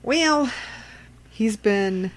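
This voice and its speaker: A woman's voice: on the high side, lively intonation, extremely slow. A woman co-hosting a podcast in casual, conversational chat. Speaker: podcast co-host.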